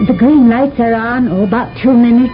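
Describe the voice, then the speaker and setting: An actress as a flirty spaceship lieutenant. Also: female voice, on the high side, fairly quick, over music, close to an everyday voice. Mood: flirtatious. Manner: flirtatious, teasing. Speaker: service lieutenant. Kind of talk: 1970s radio drama acting. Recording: dull old radio broadcast.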